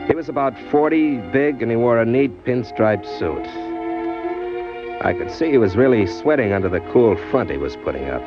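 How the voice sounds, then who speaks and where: A man playing a cocky, sly small-time crook in an old radio crime drama, talking and narrating in tough, wisecracking slang. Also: lowish voice. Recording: dull vintage radio recording, music behind.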